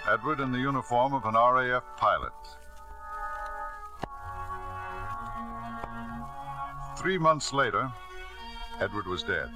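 An actor playing a domineering, middle-aged British lord in a 1950s radio drama, polished and insistent. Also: male voice, mid-range voice, expressive, fairly quick, over music, close to an everyday voice, rough recording. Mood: somber narrating.

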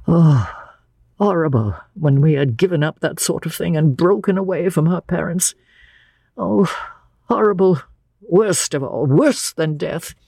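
A performer voicing a frail, gentle old man who speaks haltingly and in anguish, as in a dramatic audiobook. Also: medium pitch, very animated, creaky, slightly rough, no echo, heavily acted. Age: older. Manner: halting, drawn-out, anguished delivery. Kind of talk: dramatic audiobook character reading.

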